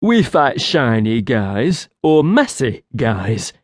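A storyteller voicing a simple-minded, excitable little male creature who speaks in broken, childlike phrases. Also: medium pitch, takes it slowly. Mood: eager. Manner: broken childlike speech, talks of himself by name. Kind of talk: audiobook character voice.

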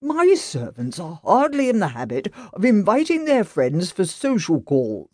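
A woman voicing a hot-tempered wealthy gentleman who angrily dismisses a policeman at his door. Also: lowish voice, huge pitch swings, unhurried, dry recording, studio quality, voice pushed up, exaggerated voice. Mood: angry. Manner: shouting, dismissive.

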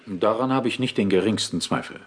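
Low-voiced, cheeky police inspector. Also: male voice, brisk, breathy edge. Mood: flippant. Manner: flippant, mock-obedient. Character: cheeky, wry British police inspector and ghost hunter. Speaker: lead character and narrator. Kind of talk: German audio drama acting and first-person narration. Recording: studio audio drama with music bed.

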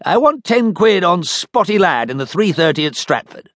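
男性が演じる 尊大で気取った詐欺師の紳士. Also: male voice, sits high, big pitch swings, moderate pace, a bit bright, dry recording, clean studio sound, voice pushed up. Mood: blustering. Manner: plummy officer-like bluster. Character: pompous conniving male con man garage owner.

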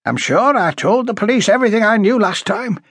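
A man voicing a blunt, prickly older working-class woman with a high, rasping voice, emphatic and chatty, as audiobook dialogue. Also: expressive, fairly quick, a bit bright, no room sound, heavily acted. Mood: grim.

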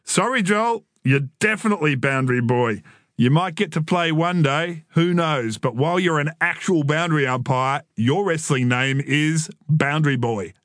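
A man's voice: a little high, normal speed, fairly bright tone, no room sound, studio quality, close to an everyday voice. Man as a teasing, smug older brother. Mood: mock-serious teasing. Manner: mock-serious, taunting.

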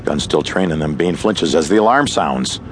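A man's voice: extremely low pitch, expressive, moderate pace. A man narrating a radio play, reading stage directions as dramatic present-tense storytelling. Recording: music bed behind the voice. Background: music.

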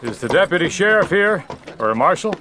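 An actor playing a calm, observant, plain-spoken cowboy in a western audio drama, talking in a laconic, musing way. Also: male voice, fairly high pitch, fairly quick, slight background noise. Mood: polite, matter-of-fact.

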